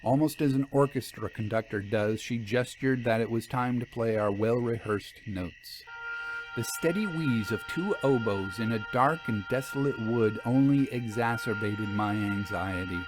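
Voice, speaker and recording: A man narrating a scripted first-person story in a measured, literary manner. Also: medium pitch, music in the background, low-quality recording.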